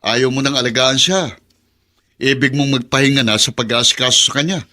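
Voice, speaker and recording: A man's voice: medium pitch, brisk, pushed and bright, close to an everyday voice. A man playing a stubborn, argumentative younger brother in a Filipino radio drama. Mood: defiant. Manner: incredulous, argumentative. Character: stubborn, defiant younger brother shirking family duty.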